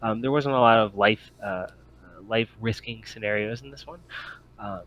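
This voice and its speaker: A man running a tabletop game as game master, explaining things casually in halting, hesitant phrases. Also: on the low side, a bit bright. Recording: slightly dull lo-fi microphone.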